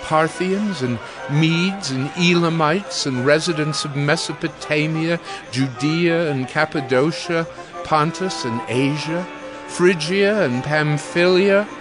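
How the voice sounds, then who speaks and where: Slow, astonished man, highish voice. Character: astonished man in a bewildered crowd. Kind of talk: dramatized scripture acting.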